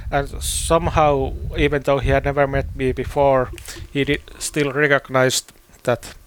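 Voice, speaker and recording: A man from Finland with a Finnish accent, a native Finnish speaker, preaching a sermon in halting, deliberate phrases. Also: mid-range voice, level tone, a little hiss or hum. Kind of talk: sermon with scripture reading and personal testimony.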